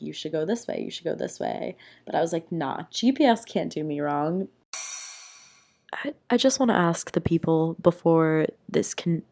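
A young woman hosting a casual solo podcast, hoarse and raspy from being sick, chatty and playful. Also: lowish voice, a little mellow. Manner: chatty, playful, rambling with fillers. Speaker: podcast host. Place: small room at home.

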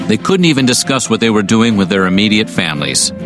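A man's voice: lowish voice, big pitch swings, fast talker, over music. A man reading scripted history documentary narration with a casual, wry turn of phrase.

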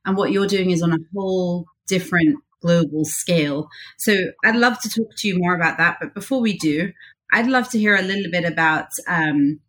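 A woman's voice: lowish voice, normal speed, a bit bright. A middle-aged woman interviewing an old college friend, speaking in a chatty and admiring way. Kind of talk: conversational interview.

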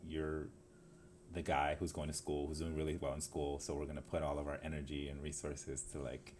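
A man's voice: rumbling low, monotone, brisk, darkish tone, slight background noise. A man in his twenties from Los Angeles, co-hosting a casual podcast, rambling and laughing at himself. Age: 20s. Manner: rambling, self-interrupting, laughs often. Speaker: podcast co-host. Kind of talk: casual chat.

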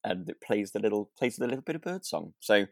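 A man's voice: on the low side, very animated, quick pace, gravelly, no echo, clean studio sound. A man with a British accent, co-hosting a podcast in a casual, conversational way. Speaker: podcast co-host. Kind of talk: casual chat.

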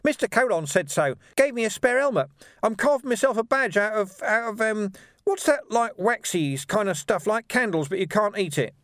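High, glutinous cheeky urchin boy. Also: lively intonation, a bit bright, dry recording, studio quality. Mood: eager, proud. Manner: halting, wheedling, slangy working-class talk. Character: grinning, cheeky, scruffy young street urchin boy.

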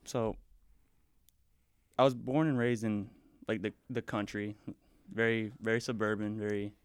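Laid back young guy from the midwest, spanish at home growing up, lowish clear voice, says like a lot. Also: flat delivery, measured pace, no echo, clean studio sound. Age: young adult. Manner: laid-back, rambling, lots of "like" and "so". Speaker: podcast guest. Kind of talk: casual conversation.

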